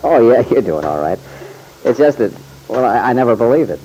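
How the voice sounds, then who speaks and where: A man's voice: mid-range voice, very animated, fairly quick, dark tone, lightly acted. An actor playing an easygoing, modest young country man with an American accent, folksy and a little bashful, in old-time radio drama. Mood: shyly admiring. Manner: folksy, slightly bashful, hesitant. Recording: noisy vintage radio recording.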